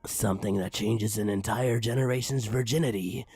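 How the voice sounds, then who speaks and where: A man's voice: lowish voice, steady tone, normal speed, darkish tone, slightly rough, dry recording. A man narrating a comedic story aloud in a theatrical, mock-serious way, with sudden emphatic swings. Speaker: narrator reading a parody creepypasta story aloud. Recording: music bed under the voice. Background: background music.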